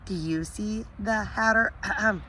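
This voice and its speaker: A woman reading aloud, voicing a patient mother in a storybook, softer and lower than her narration. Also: measured pace, slight background noise. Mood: puzzled.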